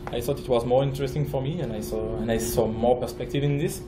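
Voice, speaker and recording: A man from France with a French accent, giving a conference talk as a project manager, halting, with many fillers and restarts. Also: medium pitch, reverberant, over music, poor audio. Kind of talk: conference presentation. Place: conference room.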